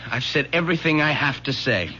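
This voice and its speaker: A man's voice: a little high, expressive, fairly quick, pushed and bright. An actor playing an earnest, resolute young man in a 1970s radio drama. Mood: resolute, hurt. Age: young adult. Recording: dull, hissy old radio broadcast.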